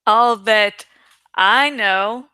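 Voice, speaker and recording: A woman's voice: medium pitch, drawn-out words, pushed and bright, smooth, no echo. A woman voicing a sardonic, teasing teenage boy from a novel, with a theatrical, mocking manner.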